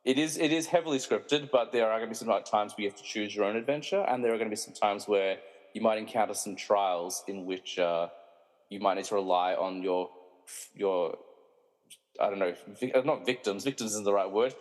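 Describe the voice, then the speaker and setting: A man's voice: on the low side, a little fast. A man hosting in a casual, chatty way with jokey, self-deprecating asides. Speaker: podcast host. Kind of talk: casual chat. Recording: long echoing room reverb.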